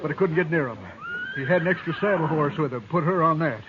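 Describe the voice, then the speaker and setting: A rustic stagecoach driver, played by a man, high-pitched and urgent in a folksy western way. The old radio recording is thin and tinny, with a crowd behind. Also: fast talker, close to an everyday voice. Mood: explaining. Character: plain-spoken, excited frontier stagecoach driver. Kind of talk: 1950s radio western acting.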